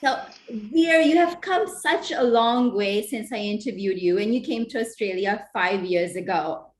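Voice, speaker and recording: A woman with a mid-pitched voice, hosting an interview. She asks her guest long, winding questions that wander before landing. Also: unhurried.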